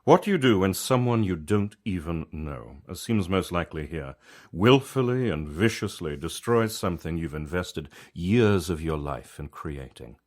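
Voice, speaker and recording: A man narrating a written story as its author, in a measured, reflective and conversational storyteller's manner. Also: sits low, lively intonation, a little mellow. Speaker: author reading own story.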